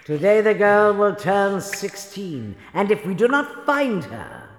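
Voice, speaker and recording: An actress playing an imperious, cruel sorceress in a fairytale audio drama, commanding and threatening. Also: female voice, medium pitch, big pitch swings, takes it slowly. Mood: menacing, cold. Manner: commanding, menacing, dropping to hisses then shouting. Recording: echoey hall reverb with background music.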